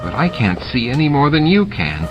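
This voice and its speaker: A man's voice: medium pitch, huge pitch swings, normal speed, pitched above the performer's own voice, poor audio. A man voicing a timid, put-upon alien waiter in an audiobook, whining and flustered. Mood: whiny protest. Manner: whining, loud stage whisper. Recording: music and effects mixed under the voice.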